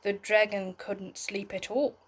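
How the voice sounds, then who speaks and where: A woman reading a bedtime story aloud in an expressive way, as if talking to young listeners. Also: medium pitch, a little slow, husky. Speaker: storybook reader.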